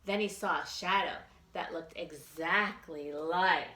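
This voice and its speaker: A woman's voice: lowish voice, takes it slowly, sharp tone. A woman librarian leading a children's storytime, reading and reciting rhymes expressively in simple phrases for small children. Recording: echoey room.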